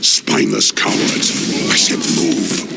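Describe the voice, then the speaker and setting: An actor playing a ruthless, power-hungry warlock in a fantasy video game, growling with menacing, imperious theatricality. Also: male voice, slow pace, very noisy, close to an everyday voice. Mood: furious, commanding. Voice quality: gravelly, growling. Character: sinister, ruthless, power-hungry old warlock, raging and contemptuous.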